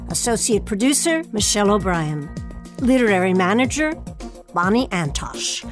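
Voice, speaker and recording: A woman's voice: medium pitch, very animated, normal speed, music in the background. A woman hosting a theatre programme, presenting and speaking as an interviewer and announcer. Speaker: host of a theatre podcast. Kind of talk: hosted interview and scripted credits.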